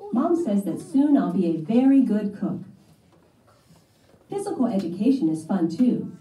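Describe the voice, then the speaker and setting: A woman's voice: mid-range voice, warm tone. A woman narrating a children's storybook in the first person as a scripted read-aloud. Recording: roomy playback from a distant mic.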